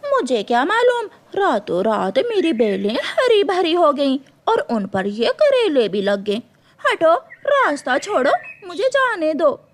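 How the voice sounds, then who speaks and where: A woman's voice: high-pitched, huge pitch swings, slow, voice pushed up, exaggerated voice. A woman voicing a sly, pushy, defiant female crow in a children's cartoon. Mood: indignant, brazen. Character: sly, brazen female crow, scheming wife.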